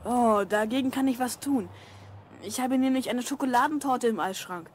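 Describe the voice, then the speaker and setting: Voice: mid-range voice, moderate pace, slight background noise, lightly acted. A performer playing an eager, curious boy of twelve in an audio drama. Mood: friendly, eager. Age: child character, twelve. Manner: eager, questioning. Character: Mark, curious, helpful twelve-year-old boy. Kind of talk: German audio drama acting.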